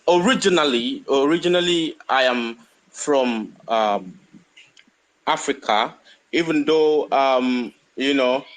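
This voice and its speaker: A man speaking as a rabbi and guest in a live discussion, answering in a hesitant way with many pauses and fillers. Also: mid-range voice, fairly bright tone, rough recording.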